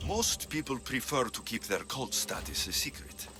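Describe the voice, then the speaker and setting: An actor playing a principled, stern mercenary hero in a video game, speaking in a firm, measured, dryly wry way. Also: male voice, medium pitch, sing-song, close to an everyday voice. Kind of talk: video game dialogue acting. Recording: loud music behind the voice.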